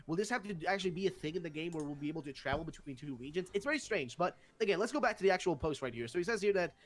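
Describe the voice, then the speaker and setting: A man's voice: on the high side, lively intonation, very fast. A young man with a Swedish accent, hosting a casual discussion and rambling with many fillers and restarts. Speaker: host.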